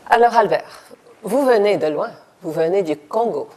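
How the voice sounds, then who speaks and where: A woman hosting a community TV interview, talking in a warm, enthusiastic, chatty way. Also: medium pitch, animated, normal speed, very noisy. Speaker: TV host.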